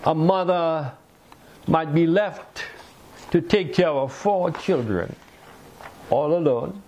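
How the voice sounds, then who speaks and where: Slow, gravelly older male preacher. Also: on the high side, a little mellow, slight background noise. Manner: slow, deliberate preaching with pauses. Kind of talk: sermon. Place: small indoor room by a fireplace mantel.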